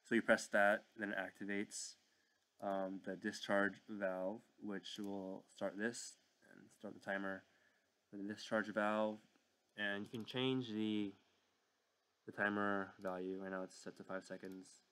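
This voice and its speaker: Young guy doing a casual screen tutorial, low voice, very flat delivery, kinda unsure and apologetic. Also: measured pace. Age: young adult. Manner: hesitant, unscripted, apologetic. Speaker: learner presenting a tutorial. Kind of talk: casual screen-recorded software walkthrough. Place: indoor room at home.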